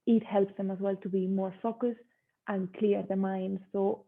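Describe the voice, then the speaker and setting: Casting: woman, Spanish accent, enthusiastic talkative interview guest, gravelly fry at phrase ends, thin telephone-like call audio. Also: medium pitch, little pitch movement, moderate pace. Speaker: guest, yoga instructor. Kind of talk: conversational interview.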